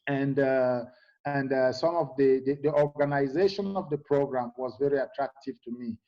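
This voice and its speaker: A middle-aged man from Cameroon, a guest in a conversational interview, speaking in a halting way with many fillers and restarts. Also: medium pitch, dark tone, dull audio.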